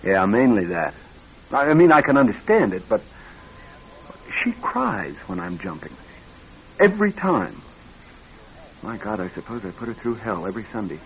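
A dull, band-limited old radio drama recording carries a nervous young executive. He is a lowish-voiced man who talks earnestly and haltingly, with lively swings of pitch. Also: a little hiss or hum. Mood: anxious, defensive. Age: young adult. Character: nervous young married executive troubled by nightmares.

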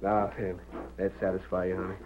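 A man's voice: low-pitched, completely flat delivery, brisk, slight background noise, natural voice. An American actor playing an exhausted, dutiful frontier husband and father with a folksy Western drawl, in old-time radio style. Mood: earnest, stubborn. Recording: muffled narrowband vintage radio recording.

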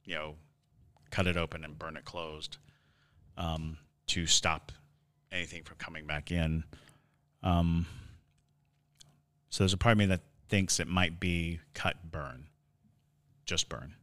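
A man co-hosting a casual podcast, speaking in deliberate, halting phrases. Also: low voice, fairly even intonation, fairly bright tone. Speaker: podcast co-host, quiz contestant.

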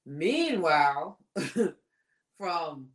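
A woman in her forties co-hosting a casual relationship talk show, explaining her points slowly and laughing often. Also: low-pitched, big pitch swings. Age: 40s. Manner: slow, deliberate, laughing storyteller. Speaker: podcast co-host.

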